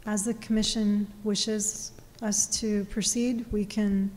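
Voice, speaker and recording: A woman's voice: mid-range voice, level tone, slow pace, darkish tone. A woman on city staff, briefing a public meeting, hesitant with many fillers and restarts, her voice worn. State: losing her voice. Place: council meeting room. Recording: distant reverberant hall, faint noise.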